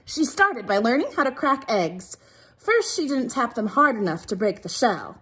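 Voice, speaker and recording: A woman teacher reading a children's storybook aloud in an expressive, emphatic way. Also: fairly high pitch, moderate pace, a little hiss or hum, rough recording. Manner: animated, emphatic delivery.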